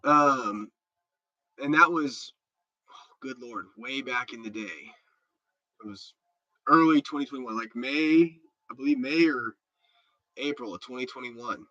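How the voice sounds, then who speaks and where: A man's voice: medium pitch, measured pace, long pauses, sharp tone, no room sound, lo-fi sound. A stuffed-up man hosting a casual livestream, chatting loosely and answering viewer comments with many restarts. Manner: rambling and chatty, with repeated restarts. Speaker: livestream host.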